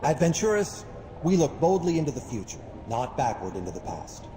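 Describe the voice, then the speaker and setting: An actor playing a pompous company chief executive giving a grand, scripted promotional address in a video game. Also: male voice, a little high, very animated, fairly quick. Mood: grandly confident. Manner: pompous, visionary speechmaking. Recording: echoing hall announcement with background noise.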